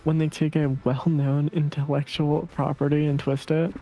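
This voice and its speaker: A man's voice: a little high, flat delivery, normal speed, mellow, muffled recording. A man co-hosting a game stream, chatting casually and reading choices aloud. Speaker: stream co-host. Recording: music behind the voice.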